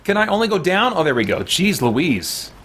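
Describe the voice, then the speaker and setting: A man's voice: high voice, big pitch swings, normal speed, fairly bright tone, slightly rough. A middle-aged man casually commentating on a video game he is playing, musing aloud with mild folksy exclamations and reading out chat. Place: small indoor room at home. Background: video game music.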